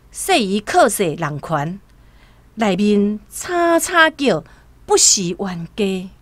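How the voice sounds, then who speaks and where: A Taiwanese woman narrating a literary radio drama in a storytelling style, over soft music. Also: mid-range voice, no room sound.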